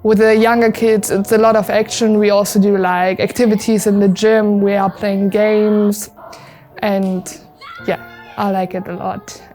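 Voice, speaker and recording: An eighteen-year-old woman from Germany, speaking English with a German accent in a relaxed, youthful way. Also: mid-range voice, a little slow, faint music behind. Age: young adult. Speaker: volunteer. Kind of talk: interview testimonial.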